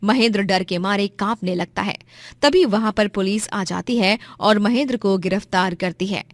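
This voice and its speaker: A woman's voice: mid-range voice, normal speed, dry recording. A woman narrating a scripted moral story as a storyteller.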